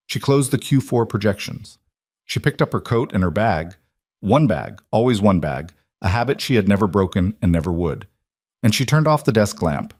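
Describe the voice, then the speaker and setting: A man reading a scripted dramatic story in a measured, solemn manner. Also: on the low side, a little mellow, no room sound, studio quality. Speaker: narrator.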